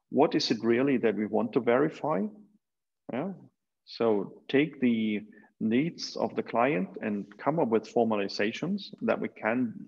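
Gravelly man giving a keynote over a video call. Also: medium pitch. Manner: measured, with frequent fillers and restarts. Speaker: keynote speaker. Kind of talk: academic conference talk. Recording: dull lo-fi video call audio.

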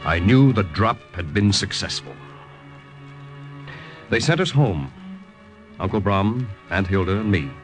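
An actor playing a composed, proper-spoken American army lieutenant held captive, in old-time radio drama style with narration. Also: male voice, lowish voice. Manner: precise, proper speech. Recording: old radio recording with music.

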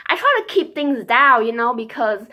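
A young adult woman with a Vietnamese accent talks in a fairly high voice. She is chatty and rambling, leaning on fillers as she speaks casually to a camera at home. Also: big pitch swings, moderate pace, sharp tone. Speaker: vlogger. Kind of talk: casual shopping haul chat. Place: small quiet room at home.

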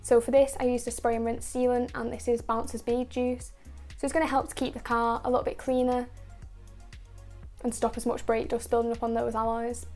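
A woman narrating a car detailing video in a steady, explanatory voice-over, going through each step and product methodically. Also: on the high side, fairly quick, soft background music. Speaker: car detailing channel host.

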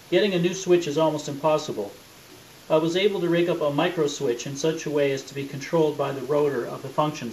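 A man's voice: on the high side, low-quality recording. Matter-of-fact man, faint hiss behind. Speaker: presenter and radio hobbyist. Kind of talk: demonstration narration. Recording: hissy home recording.

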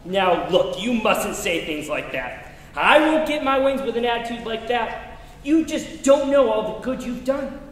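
A man's voice: very high voice, animated, unhurried, fairly bright tone, full character voice. A young man on stage playing an ancient, innocent guardian angel with a genteel, earnest manner in a live radio play. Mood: concerned. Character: ancient, innocent, eager male second-class guardian angel. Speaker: stage actor. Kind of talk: live 1940s-style radio play acting. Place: theater stage in a large auditorium. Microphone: distant camera. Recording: echoey hall from far off.

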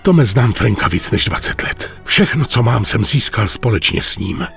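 Stern aging mafia boss, gravelly. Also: male voice, fairly low pitch, animated, a bit bright, soft background music. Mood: grim resolve. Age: older. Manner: grave, deliberate, authoritative. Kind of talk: dramatic game cutscene dubbing.

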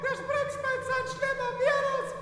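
Man doing a shrieking-high melodramatic damsel. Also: completely flat delivery, normal speed, soft background music. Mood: panicked. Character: melodramatic, lustful woman damsel in distress. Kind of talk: comic radio play acting. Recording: very echoey hall.

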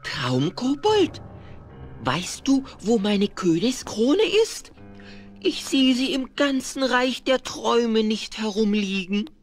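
A man's voice: very high voice, very animated, takes it slowly, faint music behind, full character voice. A man voicing a childlike, petulant little dinosaur creature who stammers, in a 1970s children's radio play. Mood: puzzled, indignant.

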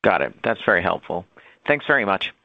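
A man's voice: low voice, very animated, brisk, creaky, slight background noise. A man asking questions as a financial analyst on an earnings call, in a hesitant, hedging way. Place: conference call. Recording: dull, dry conference call audio.

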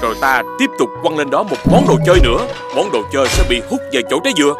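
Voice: mid-range voice, big pitch swings, quick pace, over loud music, close to an everyday voice. An actor voicing a brainy adult male cartoon dog, a stern scientist father who explains things didactically. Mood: explaining. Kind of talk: children's cartoon dubbing.

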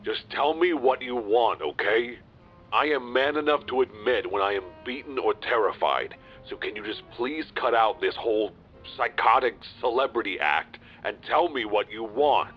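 A man's voice: mid-range voice, expressive, normal speed, faint music behind. Man playing an exasperated gangster on muffled speakerphone. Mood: pleading, exasperated. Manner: weary, pleading, irritated. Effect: speakerphone filter. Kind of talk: audio roleplay acting. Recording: muffled, husky speakerphone sound.

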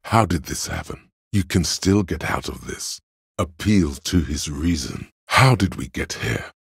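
A man voicing a detective's coolly analytical inner voice of logic in a video game, gravelly and husky, with measured, deliberate delivery. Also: rumbling low, animated, fairly bright tone, no echo, clean studio sound, exaggerated voice. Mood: reasoning. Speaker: game voice actor. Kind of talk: scripted video game narration.

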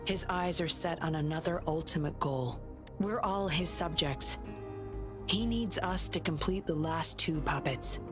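An actress playing a cool, teasing young female patroller and puppeteer in a video game, composed and sly in a measured delivery. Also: sits low. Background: game music.

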